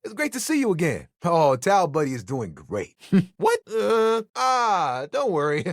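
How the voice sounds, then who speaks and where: A man's voice: sits high, wildly swooping pitch, unhurried, no room sound, clean studio sound, heavily acted. A man voicing a flirty, laid-back living towel in a video game, playful and heartfelt. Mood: friendly. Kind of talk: video game character acting.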